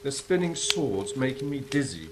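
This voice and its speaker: An actor playing a skeptical, wisecracking angel in a stage drama. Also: male voice, medium pitch, big pitch swings, normal speed, natural voice. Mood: sly, persuading. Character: skeptical, wisecracking angel on celestial clean-up crew. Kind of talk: theatrical stage drama acting. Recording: clearly noisy stage recording.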